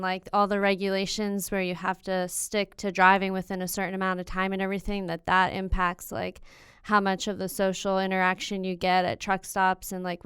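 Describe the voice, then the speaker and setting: A woman with a strikingly clean, smooth voice hosts a casual interview podcast. She speaks informally and chattily at a middle pitch. The sound is dry and close, with very little room in it. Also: fairly bright tone, no echo. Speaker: podcast host interviewing relatives.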